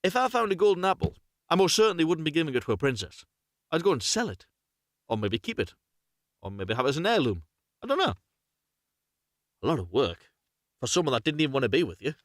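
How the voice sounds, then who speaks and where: A man's voice: fairly high pitch, very animated, quick pace, pauses often, no echo, studio quality. A man hosting a live, unrehearsed story reading, narrating expressively, correcting himself and adding chatty asides. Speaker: host reading stories aloud on a livestream.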